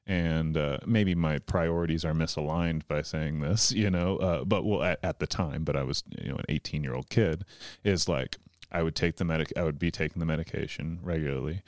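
Low-voiced guy in his 30s rambling on a podcast, dry close sound. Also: fairly quick, no room sound. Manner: rambling, lots of filler words and restarts. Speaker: guest comedian. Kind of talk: casual podcast conversation.

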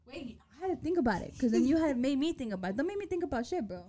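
A woman's voice: high-pitched, sing-song, very fast, warm tone. A woman co-hosting a casual chat, playful and swearing, switching between English and Spanish. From Mexican-American family. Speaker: podcast co-host.

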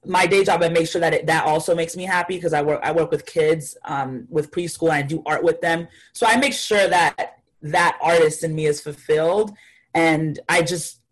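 A woman's voice: low voice, moderate pace, low-quality recording. A young woman from Haiti, a guest artist talking casually and thoughtfully in an interview, with many fillers.

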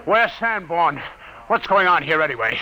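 A man's voice: fairly high pitch, very animated, normal speed, over a noisy crowd, low-quality recording. A man playing an irate, domineering newspaper boss in a vintage radio drama, blustering and commanding. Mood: furious. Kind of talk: 1940s-50s radio drama acting.

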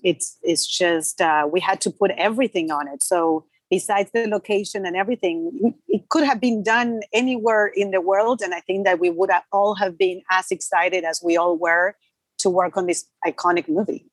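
Low-voiced woman from Argentina, native Spanish speaker. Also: fairly even intonation, moderate pace, husky, no room sound. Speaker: film makeup artist, interview guest. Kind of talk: conversational interview answers.

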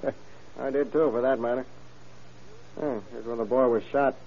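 A man's voice: medium pitch, fairly even intonation, moderate pace, close to an everyday voice. A man playing an earnest, moralizing small-town deputy in an old-time radio crime drama, folksy and a little grumbling. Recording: dull, noisy old radio recording.

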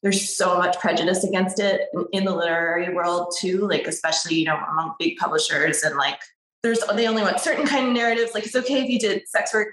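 A woman's voice: on the low side, steady tone, fast, fairly bright tone, far from the mic. A young adult woman, a writer and interview guest, talking casually and rambling with many fillers and restarts. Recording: roomy echo, voice set back from the mic.

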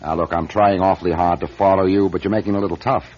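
An actor playing a skeptical, impatient corporate executive in a radio drama, dry, curt and dismissive. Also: male voice, sits low, steady tone, fast talker, a little mellow. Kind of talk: 1970s-style radio drama acting. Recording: dull lo-fi radio recording.

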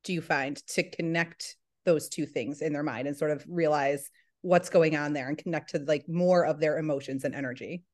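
A woman's voice: low voice, fairly even intonation, normal speed, a little mellow. A woman hosting a podcast, chatty and laughing easily as she tells stories. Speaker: podcast host. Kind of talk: casual podcast chat.

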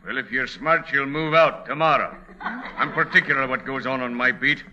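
Brusque, sharp-voiced beat cop. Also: male voice, medium pitch, moderate pace. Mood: suspicious warning. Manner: brusque, streetwise, slangy. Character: gruff, suspicious male New York beat policeman. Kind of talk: classic radio comedy acting. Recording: muffled vintage radio recording.

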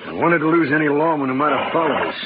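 An actor playing a hard, shrewd outlaw leader with terse cowboy talk in a radio western. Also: male voice, a little high, very animated, quick pace. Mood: weary, firm. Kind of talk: old-time radio western acting. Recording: muffled vintage radio recording, noisy.

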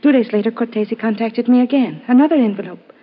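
A woman's voice: on the high side, fast talker, slightly rough. An actress playing a frightened, earnest foreign woman in a 1950s radio drama, speaking precisely and formally, on a muffled vintage recording. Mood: earnest, uneasy.

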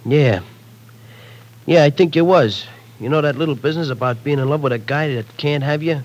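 A man's voice: a little high, a little fast, a little mellow, natural voice. An actor playing a slangy, pushy, streetwise young man in an old radio drama. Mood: wheedling. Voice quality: slangy tough-guy speech. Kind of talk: 1940s radio acting.

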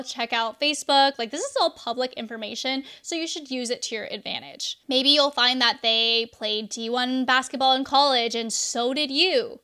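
A young woman hosts a podcast on her own, with a slightly high voice and a bright, forceful tone. She sounds direct and instructive, like a friend coaching someone through the steps. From United States. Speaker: podcast host. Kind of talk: solo podcast advice talk.

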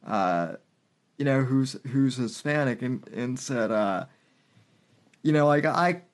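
A young man chatting casually as a podcast host, telling stories haltingly, circling back and repeating himself. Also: medium pitch, expressive. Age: young adult. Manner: halting, repetitive, filler-heavy delivery. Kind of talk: casual conversational anecdote.

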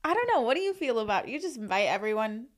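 Giggly woman in her twenties, slightly high. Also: sing-song, quick pace. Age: 20s. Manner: giggly, self-deprecating, asks lots of questions. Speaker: podcast co-host. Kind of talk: casual chat.

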